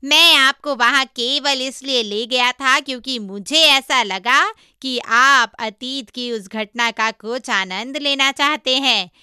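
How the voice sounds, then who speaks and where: Clever monkey disciple done by a woman: high, piercingly bright, cajoling and cheeky, storybook character. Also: slow, few pauses, smooth, dry recording, clean studio sound, heavily acted. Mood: teasing. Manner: emphatic, cajoling. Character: cheeky, clever male monkey disciple, placating his master.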